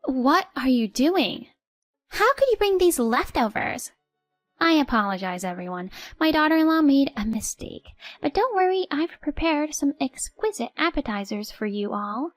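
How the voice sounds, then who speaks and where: A woman voicing a snobbish, scheming middle-aged mother-in-law with a shrill, haughty manner. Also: sing-song, slow pace, no echo, faint music behind, heavily acted.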